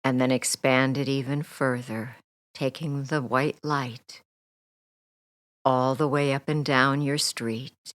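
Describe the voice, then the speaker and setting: Very slow, low-voiced woman guiding meditation. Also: fairly even intonation, no room sound, studio quality. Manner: soothing, gentle, deliberate with long pauses. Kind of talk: guided meditation, relaxation script.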